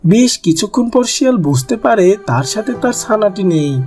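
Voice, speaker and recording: A man's voice: sits high, expressive, moderate pace, darkish tone, soft background music. A man narrating a scripted animal cartoon story as the storyteller.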